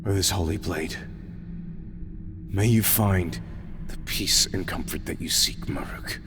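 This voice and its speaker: A man playing a weary, proud, grieving god in a fantasy audio roleplay, solemn and formal, often breathy. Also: sits low. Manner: solemn, measured, formal archaic phrasing. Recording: clearly noisy, ambient background sound.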